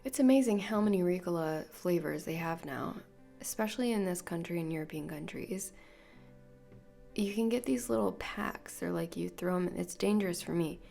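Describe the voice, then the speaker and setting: A woman hosting a solo podcast, rambling softly and half-whispering, her voice nasal and congested from illness. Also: on the low side, moderate pace, vocal fry, faint music behind. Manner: soft, half-whispered, meandering. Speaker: podcast host. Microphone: podcast microphone.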